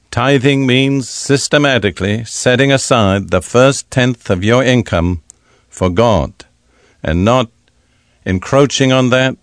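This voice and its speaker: An older man teaching from the Bible in an archive sermon recording, speaking deliberately with pauses. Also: medium pitch, no echo, clean studio sound.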